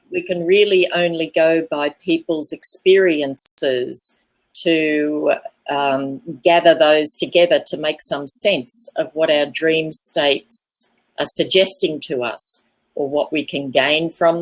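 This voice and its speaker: An Australian woman hosting an informal online discussion, speaking in measured, tentative phrases. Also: deep voice, poor audio. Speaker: host.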